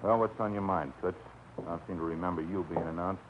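An actor playing a tough middle-aged nightclub bouncer who talks gruff and slangy, in a 1940s radio drama. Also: male voice, low voice, steady tone, quick pace, close to an everyday voice. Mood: menacing. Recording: muffled old radio recording with hiss.

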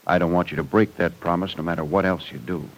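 A man's voice: low voice, steady tone, fast talker, poor audio. An actor playing an earnest, protective, fatherly middle-aged rancher who speaks properly in an old-time radio serial.

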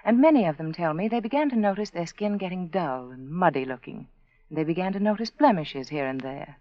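A woman giving an earnest, intimate sales pitch as the sponsor's spokeswoman in a 1940s radio commercial. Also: animated, fairly quick, no room sound. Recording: dry, dull 1940s radio recording.

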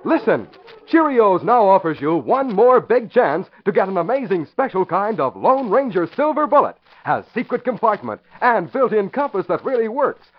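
An American man delivering scripted narration and announcements as an emphatic 1940s radio announcer. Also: high voice. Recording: dull vintage radio recording.

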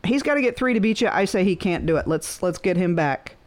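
A woman's voice: mid-range voice, animated, brisk. Bantering woman hosting a radio quiz. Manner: bantering, laughs easily. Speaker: radio show host running a phone-in quiz. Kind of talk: casual, chatty quiz hosting.

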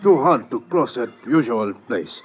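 Casting: steady Haitian guide, radio adventure, short broken-English sentences, muffled old recording. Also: male voice, mid-range voice, measured pace, breathy edge. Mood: matter-of-fact. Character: loyal, calm Haitian male jungle guide. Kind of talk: 1950s radio drama acting.

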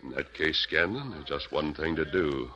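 An actor playing a steady masked male Western hero who speaks calmly and firmly in a 1940s radio drama. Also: rumbling low, normal speed, husky, lo-fi sound, exaggerated voice. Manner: calm, firm and commanding.

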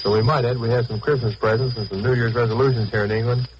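A young man from Oregon speaks as a wartime lieutenant. His mid-range voice runs quickly through a scripted statement. The 1940s recording has a clear hiss. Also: medium pitch, fairly even intonation, dull audio. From Oregon, United States. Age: 20s. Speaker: bomber crew lieutenant. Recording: hissy, noisy 1940s radio recording.

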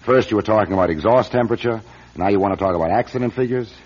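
An actor playing a skeptical, impatient corporate executive in a radio drama, dry, curt and dismissive. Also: male voice, fairly low pitch, very fast, lightly acted. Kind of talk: 1970s-style radio drama acting. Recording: dull lo-fi radio recording.

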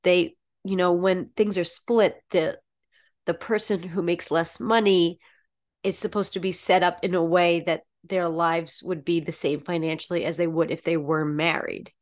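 Casting: woman co-host, low-ish voice, slightly froggy from a cold, measured and lawyerly. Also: steady tone. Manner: measured, lawyerly, hesitant with ums. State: under the weather, froggy voice. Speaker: podcast co-host. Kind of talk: casual chat.

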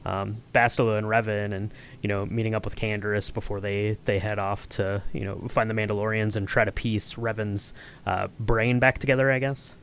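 A man's voice: lowish voice, flat delivery, normal speed, gravelly, fairly bright tone, slight background noise. A man hosting a podcast in a casual, informal, conversational way. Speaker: podcast host. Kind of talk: casual chat.

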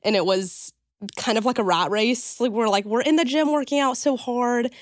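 A woman guest on a podcast with a slightly raspy voice, chatty and full of "like" fillers. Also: a little high, moderate pace, no room sound, studio quality. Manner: chatty, filler-heavy, says "like" and "kinda". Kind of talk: casual interview chat.

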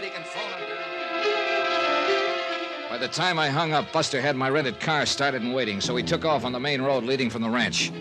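An actor playing a steady, hard-boiled investigator who also narrates a classic radio detective drama, firm, terse and matter-of-fact. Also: male voice, a little high, lightly acted. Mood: brisk. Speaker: lead character and narrator. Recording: old radio recording.

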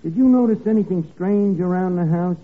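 An actor playing an eager, dim detective's sidekick in a 1950s radio comedy spoof, narrating with deadpan mock drama. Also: male voice, sits high, brisk, lightly acted. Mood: earnest questioning. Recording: tinny, band-limited old radio recording with hiss.

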